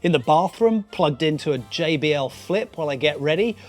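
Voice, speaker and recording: A British man presents a video essay in a fairly high voice that rises and falls with lots of animation. His delivery is scripted yet conversational, full of dry, self-mocking asides. Soft music plays behind him. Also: expressive, fairly quick. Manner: wry, playful, self-deprecating asides. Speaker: YouTube host.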